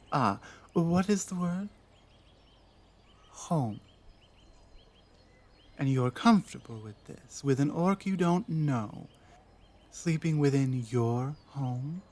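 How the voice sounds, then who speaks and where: A woman voicing an earnest, honor-bound female orc in an ASMR roleplay, formal yet halting in manner. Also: low-pitched, animated, a little mellow, full character voice. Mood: surprised, moved.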